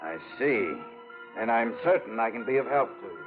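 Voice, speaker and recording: A narrow old radio recording with music behind an actor playing a male bureau official. His medium-pitched voice is formal, measured and obliging, in classic radio drama style. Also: expressive, close to an everyday voice. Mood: businesslike, obliging. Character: cooperative, businesslike male government bureau official. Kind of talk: 1950s-60s radio drama acting.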